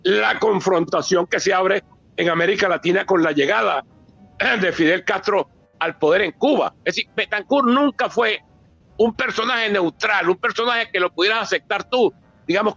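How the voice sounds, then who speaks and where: High, bright-voiced Venezuelan man. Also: animated, normal speed, vocal fry, dry recording, dull audio, soft background music. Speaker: author and politician speaking at a book presentation. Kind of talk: extemporaneous historical talk.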